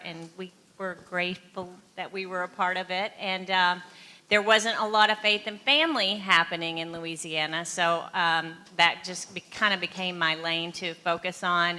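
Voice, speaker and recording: An upbeat woman speaks on a filmmaker panel in a bright, forward voice. She gives encouraging, practical advice in a relaxed, conversational way. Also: fairly low pitch. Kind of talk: casual panel talk.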